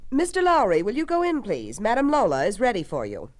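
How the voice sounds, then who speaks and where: Young female detective actress, quick and lively, brisk and eager, classic radio mystery delivery. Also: mid-range voice, lightly acted. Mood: firm, businesslike. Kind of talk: 1940s radio mystery acting.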